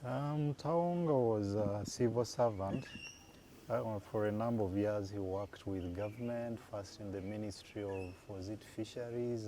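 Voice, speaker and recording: A Ugandan man in his forties with a very low voice answers questions at an easy pace and pauses to recall dates. The recording carries clear background noise from the room around him. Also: mellow. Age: 40s. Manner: thoughtful, unhurried, recalls dates aloud. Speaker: guest. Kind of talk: relaxed interview answers. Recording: noisy room ambience.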